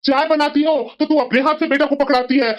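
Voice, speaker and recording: A woman's voice: sits high, steady tone, fairly quick, muffled recording, lightly acted. A woman voicing a sensible middle-aged husband in a family moral-story cartoon, scolding and lecturing reproachfully.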